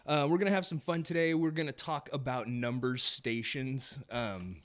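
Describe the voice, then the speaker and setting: A man's voice: medium pitch, moderate pace. A man hosting a casual livestream podcast, loose and joking, laughing often between fillers. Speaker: podcast host.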